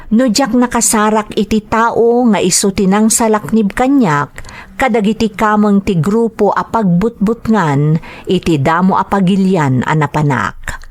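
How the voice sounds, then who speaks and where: A woman with an Ilocano accent hosting a podcast, reading greetings and letters as a scripted narrator. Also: medium pitch.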